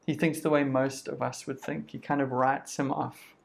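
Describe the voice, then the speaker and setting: A man's voice: mid-range voice, flat delivery, normal speed, gravelly. A man with a South African accent, giving a sermon in a conversational way and acting out what people say. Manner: conversational, uses quoted voices and lists. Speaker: preacher.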